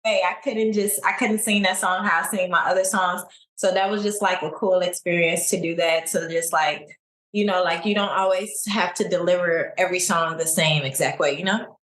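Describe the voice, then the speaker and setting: Chill young woman from chicago, lower voice, easygoing chat, echoey room. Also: moderate pace. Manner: relaxed, laid-back, many likes and you knows. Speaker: singer guest. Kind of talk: casual interview chat.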